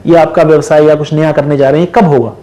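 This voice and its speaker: A man's voice: on the high side, fairly even intonation, fast, mellow. A man giving emphatic, instructive astrological advice as an interview guest, mixing in English phrases. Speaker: astrologer and palmist, programme guest. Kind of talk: advisory explanation in a studio interview.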